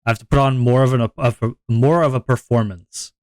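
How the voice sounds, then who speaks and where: Deep voiced guy yapping to chat, dry close mic, kinda rambly. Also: fairly even intonation, fairly quick, a little mellow, no room sound. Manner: rambling, self-reflective, repeats words. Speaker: streamer. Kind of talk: casual chat to stream viewers.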